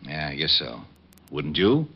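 An actor playing a tired, curt, grumbling homicide lieutenant in 1940s radio drama style. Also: male voice, rumbling low, sing-song, unhurried, full character voice. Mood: grim, subdued. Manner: curt, gruff, exasperated. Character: weary, grumbling male police homicide lieutenant. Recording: muffled vintage radio recording.

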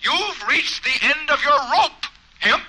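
Blustery pompous boss man, high sharp voice, swoops around, old radio comedy. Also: animated, takes it slowly, heavily acted. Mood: indignant. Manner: pompous, indignant. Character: pompous, blustering small-town male politician boss. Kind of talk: 1950s radio comedy acting.

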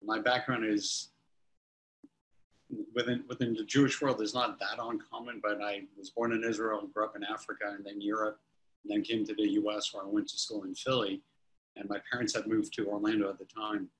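Man in his 50s, CEO guest, a touch low-pitched, talks in loose, restarting sentences. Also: little pitch movement, brisk, fairly bright tone, low-quality recording. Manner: rambling, many restarts and fillers. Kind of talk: casual interview answers.

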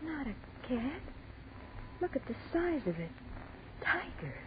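An actress playing a brash, impatient, wisecracking college student in a 1940s radio drama, sarcastic and blunt. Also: female voice, sits high, very animated, takes it slowly, highs cut off, exaggerated voice. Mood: alarmed. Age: young adult. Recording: scratchy, thin 1940s radio recording.

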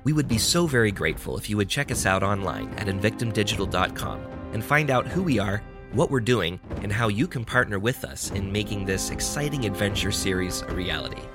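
A man's voice: on the low side, animated, fairly quick, few pauses. A man, a producer and director, giving a scripted introduction. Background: music.

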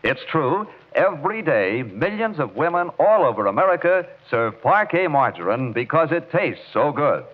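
A man's voice: on the high side, sing-song, moderate pace. A man working as a radio announcer, reading scripted 1940s broadcast copy. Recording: thin phone-like 1940s radio recording.

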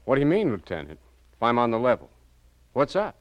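A young married man with something to hide. He is nervous and evasive, tripping over his words in 1950s radio drama style. His pitch sits in the middle range. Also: huge pitch swings, a little fast, dull audio. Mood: anxious. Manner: stammering, evasive.

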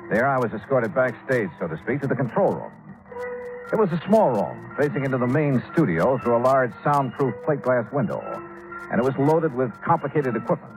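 An actor playing a wry, self-assured insurance investigator in a 1950s radio drama, in brisk hard-boiled style. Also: male voice, medium pitch, very animated, rough recording. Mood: wry, matter-of-fact. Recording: 1950s radio recording, music behind.

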